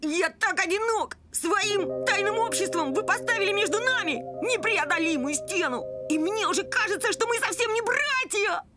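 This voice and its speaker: A woman voicing an excitable, dramatic young puppy boy in a children's cartoon, speaking theatrically and emphatically. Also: very high-pitched, moderate pace, hardly any pauses, loud music behind the voice. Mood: wounded, dramatic.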